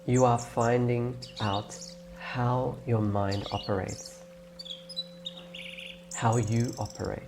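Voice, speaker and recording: A man leading a guided meditation in a gentle, instructing way, leaving long pauses between phrases. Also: medium pitch, fairly even intonation, background noise. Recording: clear birdsong and nature ambience behind. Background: birdsong, nature ambience.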